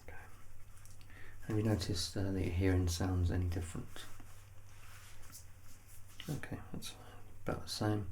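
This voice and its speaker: A soft-spoken man in a calm, reassuring ASMR medical exam roleplay, playing the examiner. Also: low voice, moderate pace, long pauses, slight background noise, low-quality recording.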